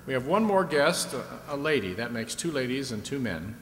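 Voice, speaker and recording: A man's voice: very animated, moderate pace, fairly bright tone. A man playing a chatty host of an old-time radio quiz show, leading contestants along with questions. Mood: brisk, explaining. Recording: echoey hall, faint rumbling noise.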